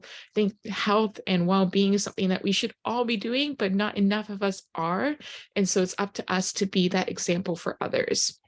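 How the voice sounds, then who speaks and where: A young woman whose first language is Japanese pitches a business opportunity in English with upbeat, earnest energy. Her voice sits at an everyday middle pitch. She fills her sentences with "you know" as she speaks. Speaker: presenter on a business opportunity call. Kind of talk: motivational recruiting talk.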